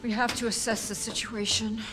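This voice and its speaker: A woman's voice: on the high side, level tone, normal speed, close to an everyday voice, low-quality recording. An actress playing a cold, preoccupied woman scientist who speaks in a clinical, dismissive way in a video game. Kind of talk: dramatic video game voice acting.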